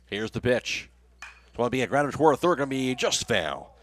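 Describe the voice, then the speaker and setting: A man's voice: mid-range voice, lively intonation, brisk, a bit bright. An American man doing live radio play-by-play of a baseball game as the announcer. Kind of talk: live sports commentary.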